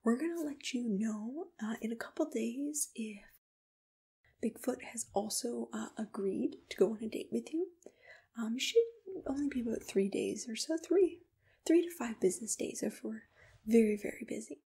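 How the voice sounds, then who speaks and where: Breathy, high whispering woman in ASMR roleplay. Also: animated. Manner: gentle, personal, unhurried customer-service address. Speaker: ASMR roleplayer as a matchmaking agency assistant. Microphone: close-up microphone.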